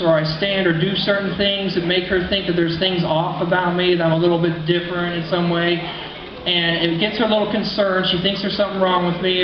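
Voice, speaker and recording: A man from Kansas doing a deadpan stand-up comedy set in a small bar, telling stories and acting out quotes. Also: sits high. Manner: deadpan storytelling with acted quotes. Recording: echoey room, lo-fi, noisy.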